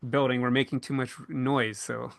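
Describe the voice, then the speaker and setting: A man hosting a podcast panel, speaking casually and pausing often mid-thought as he works through his points. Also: medium pitch, level tone, vocal fry, crowd noise. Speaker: podcast host and panel moderator.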